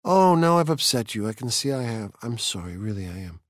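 A man's voice: medium pitch, sing-song, brisk, no room sound, studio quality. A man voicing a peculiar, dreamy teenage girl of nearly seventeen, whimsical and earnest in her chatter. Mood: apologetic.

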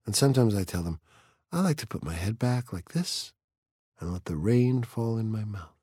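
A man's voice: on the low side, lively intonation, normal speed, warm tone, husky, no room sound, studio quality. A man voicing a peculiar, dreamy teenage girl of nearly seventeen, whimsical and earnest in her chatter.

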